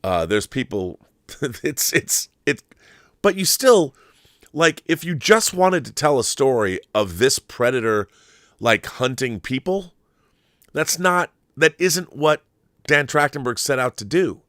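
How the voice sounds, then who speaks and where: A man's voice: mid-range voice, animated, takes it slowly, fairly bright tone, no echo, clean studio sound. A man hosting a film commentary show, talking in a casual, opinionated monologue with an effusive, emphatic, rambling manner and some swearing.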